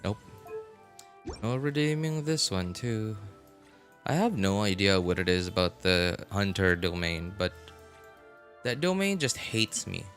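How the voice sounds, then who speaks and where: Man, low voice, game streamer, casual commentary, halting and repetitive, music behind. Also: very animated, a bit bright. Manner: halting, repetitive, thinking aloud.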